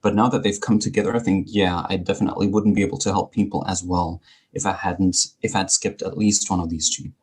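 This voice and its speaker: A man's voice: low voice, steady tone, quick pace, darkish tone, slightly rough. A man who is a native speaker of Greek and German, talking articulately and wryly as a coach being interviewed. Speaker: podcast guest, speaking coach. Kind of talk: conversational interview.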